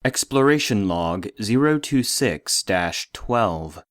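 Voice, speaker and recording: Actor as formal archive database narrator, audio drama. Lowish male voice, clinical, detached, very dry studio sound. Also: no room sound. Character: formal male database voice reading exploration logs. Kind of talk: scripted narration.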